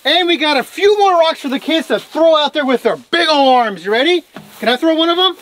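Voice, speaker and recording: A woman narrating a family vlog from behind the camera on a farm, excitedly cheering her kids on as they play. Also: high voice, brisk. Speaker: mother and family vlogger. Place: outdoors on a farm, barn and lakeside.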